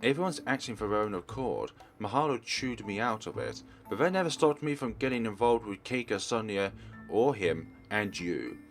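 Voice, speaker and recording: Low-voiced man reading dramatically with lively swings. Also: normal speed. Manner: reads in character, shifting between parts. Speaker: let's play host. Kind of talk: dramatic read-aloud of visual novel text. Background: soft game music.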